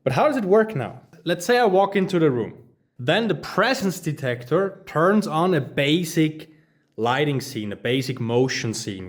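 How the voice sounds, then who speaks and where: A German-accented man in his thirties, a native German speaker, giving an enthusiastic, casual hands-on tutorial, filmed on a handheld phone while walking around his office. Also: mid-range voice. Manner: enthusiastic, casual, addresses viewers as guys. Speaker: smart home trainer. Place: office studio room, indoors. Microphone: phone camera held while walking.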